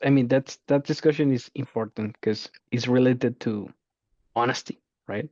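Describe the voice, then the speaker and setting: A man answering interview questions in a halting way, often repeating words. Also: mid-range voice, darkish tone, no echo. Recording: dull, dry lo-fi audio.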